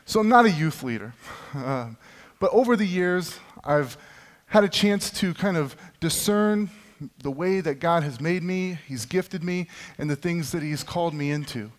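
A man's voice: on the high side, lively intonation, a little slow. Self-mocking man preaching in echoing hall. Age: 30s. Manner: self-deprecating, humorous storytelling. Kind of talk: sermon. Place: church hall with long reverb. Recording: reverberant church hall.

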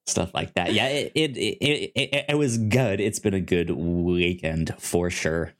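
A man co-hosting a movie podcast in a casual, chatty way, quick to laugh. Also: low-pitched. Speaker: podcast co-host.

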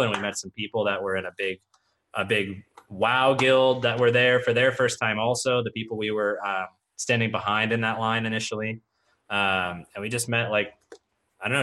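A young man co-hosting a podcast, talking loudly and animatedly in casual chat. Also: on the low side, a little hiss or hum. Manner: loud, animated, talkative. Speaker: podcast co-host.

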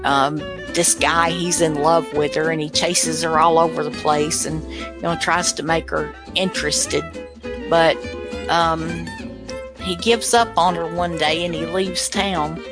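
A woman's voice: deep voice, fairly even intonation, a little slow. A woman chatting casually, as in an informal talk. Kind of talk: casual chat. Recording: music playing behind. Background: guitar music.

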